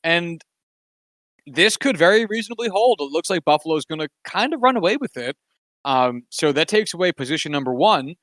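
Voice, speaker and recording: A man's voice: a little high, lively intonation, a little fast, fairly bright tone, dry recording. A man co-hosting a casual sports podcast, talking in a wry, sarcastic, rambling way with plenty of fillers. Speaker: podcast co-host.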